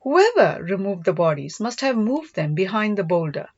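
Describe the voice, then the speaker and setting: A woman narrator voices a secretive royal spy chief from a storybook, measured and authoritative. The delivery moves freely in pitch. The sound is dry and a little muffled. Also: very animated, darkish tone, husky, dry recording. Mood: pondering. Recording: dull, lo-fi, dry close recording.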